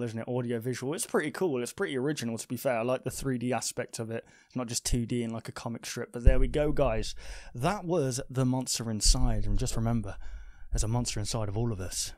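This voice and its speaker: A man's voice: medium pitch, a little mellow, no room sound. A man, reading a game aloud and commenting casually into a close microphone. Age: 20s. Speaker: gaming video host. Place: small bedroom studio. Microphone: close boom-arm studio microphone, headset on. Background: music.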